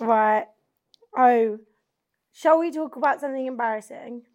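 High-voiced young woman, chatty podcast host. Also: a little slow. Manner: chatty, swearing, laughing often. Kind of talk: casual chat.

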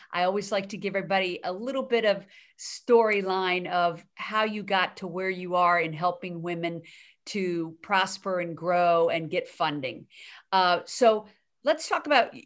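A woman hosting a podcast interview, talking in an upbeat, enthusiastic way and cutting in to stress her guest's points. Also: medium pitch. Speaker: podcast host. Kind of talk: casual interview chat.

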